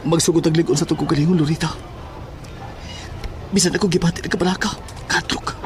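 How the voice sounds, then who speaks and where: A man's voice: on the high side, fairly even intonation, quick pace, background noise, close to an everyday voice. A man playing an earnest, worried young son in a horror audio drama.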